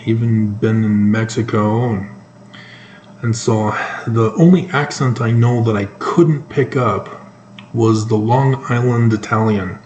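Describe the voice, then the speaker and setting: A man with a North American accent giving informal, sarcastic news commentary, halting often mid-sentence. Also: lowish voice, darkish tone, dull audio. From North America, moved around the United States and Canada. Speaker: solo commentator. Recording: echoey room with faint background noise.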